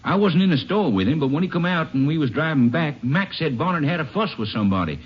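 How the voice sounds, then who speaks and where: An actor playing a nervous young farmhand with a hurried drawl in a 1950s radio drama. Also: male voice, on the high side, lively intonation, breathy edge. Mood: uneasy. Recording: muffled vintage radio recording.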